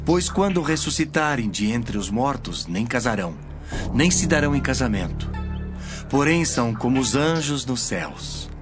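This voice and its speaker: Authoritative male teacher of scripture, played by a man, solemn delivery with wide, lively inflection, soft music beneath. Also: medium pitch, normal speed, lightly acted. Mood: stern teaching. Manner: solemn, emphatic, declamatory. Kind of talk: dramatized scripture audio reading.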